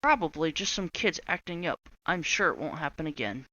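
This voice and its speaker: A woman's voice: deep voice, sing-song, fairly quick, sharp tone, dry recording, highs cut off, heavily acted. A woman voicing a bossy, witch-like substitute teacher with a scratchy voice, barking orders at a class in a storybook reading. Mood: menacing. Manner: barking, threatening.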